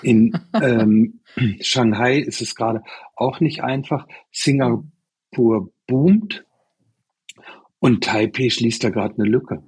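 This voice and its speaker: Husky-voiced chef in his sixties. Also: mid-range voice, slow, pauses often, dry recording, poor audio. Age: 60s. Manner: relaxed and expansive, with many fillers. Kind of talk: conversational interview answers.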